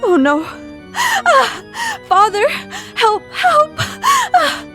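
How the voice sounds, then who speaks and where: An actress playing a sweet, innocent, trusting young woman in old-time radio suspense style, with a gentle, soft manner. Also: extremely high pitch, huge pitch swings, drawn-out words, faint music behind, exaggerated voice. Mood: terrified.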